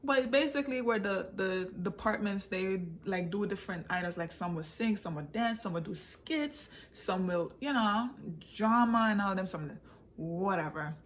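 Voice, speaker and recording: A woman's voice: mid-range voice, normal speed. A woman speaks. She tells a personal story in a casual, confiding way, repeating phrases for emphasis and dropping into Patois. There is faint background noise in the recording. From born in Jamaica, raised in England and the United States. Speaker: vlogger and author telling her own story.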